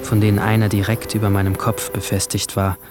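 Man as a low-voiced, brooding teenage boy. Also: flat delivery, brisk, music in the background, natural voice. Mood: tense, detached. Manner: hushed, introspective, at times whispering. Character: brooding, alienated seventeen-year-old boy narrating his guilt. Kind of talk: first-person radio drama narration.

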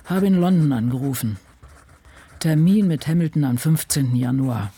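A woman's voice: sits low, moderate pace, slightly rough. An older woman playing an ageing, world-weary writer confiding dryly and introspectively in her diary in a radio play. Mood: dry, reflective. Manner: brooding, dry, introspective. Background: soft music.

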